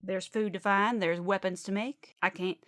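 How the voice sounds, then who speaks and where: A woman's voice: mid-range voice, fairly even intonation, normal speed, fairly bright tone, dry recording, studio quality, natural voice. Woman playing a folksy Southern lady preacher. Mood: earnest preaching. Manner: folksy, rambling, emphatic. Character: zealous chatty Southern woman Baptist preacher, late-night apocalyptic radio. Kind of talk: midnight radio sermon.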